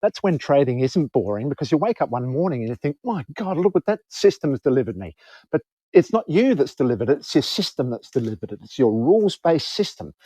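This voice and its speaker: A man talks in a relaxed group chat, reflective at times and teasing at others. His tone is warm and mellow, and his pitch moves a lot. The sound is dry and close. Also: brisk, slightly rough, dry recording, low-quality recording. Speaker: group member.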